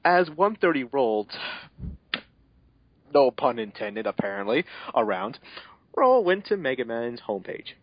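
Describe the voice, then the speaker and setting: Animated, sarcastic man, muffled close recording. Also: mid-range voice, a little slow, pauses often, no room sound. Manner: sarcastic, stumbling, breaking off to comment; swears. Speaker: narrator and co-reader. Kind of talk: mocking dramatic reading with asides.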